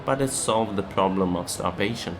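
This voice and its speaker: Low-voiced man vlogging. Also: moderate pace, a crowd in the background. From South Africa. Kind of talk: casual vlog talk and voice-over narration. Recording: clearly noisy, ambient background sound.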